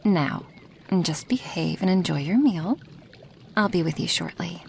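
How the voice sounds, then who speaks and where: A woman voicing an affectionate female dragon who brews potions, in a soft, intimate ASMR roleplay with breathy half-whispers and sighs. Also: on the low side, lively intonation, a little slow, a little hiss or hum. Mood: tender, teasing. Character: affectionate female dragon, a potion maker tending her human guest.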